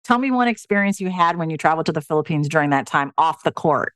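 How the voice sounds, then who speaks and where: A woman's voice: sits low, expressive, fast talker, a bit bright, dry recording, studio quality. A woman from the United States hosting a podcast, putting questions to her guest in a conversational way. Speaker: podcast host, interviewer. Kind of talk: conversational interviewing.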